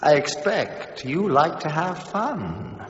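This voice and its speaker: A sly flirting devil. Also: male voice, a little high, big pitch swings, slow, a little hiss or hum. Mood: flirtatious. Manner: smooth, teasing, playful with sudden yelps. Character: sly scheming male devil, flirtatious and mischievous. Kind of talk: radio drama acting. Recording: roomy reverberant dull radio recording.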